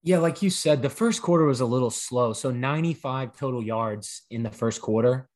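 A young man co-hosting a sports podcast, relaxed, breaking down plays with numbers. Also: medium pitch, moderate pace. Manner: relaxed, stat-heavy breakdown. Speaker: podcast co-host. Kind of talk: casual sports podcast analysis.

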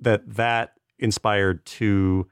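A man with a deep voice chats as a podcast panelist. The sound is dry, with no room around it. He talks informally, circling and hedging his points with plenty of "like". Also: steady tone, very slow, smooth, no echo. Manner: hedging, rambling, peppered with "like". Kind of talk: casual conversational discussion.

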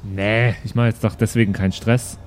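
A man's voice: lowish voice, steady tone, moderate pace, over music. A man, chatting casually as a player in an improvised tabletop game.

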